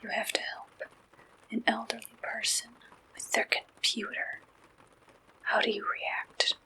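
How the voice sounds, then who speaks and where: Slow, whispering woman in ASMR roleplay. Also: on the high side, level tone, bright, forceful tone, low-quality recording. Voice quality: breathy whisper. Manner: slow, deliberate questionnaire-style delivery in short phrases. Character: attentive female matchmaker at a werewolf dating service.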